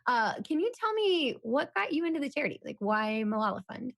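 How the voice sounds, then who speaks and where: A woman's voice: sits high, very animated, brisk, vocal fry, a bit bright. A woman conducting a casual interview in an upbeat, enthusiastic, conversational way.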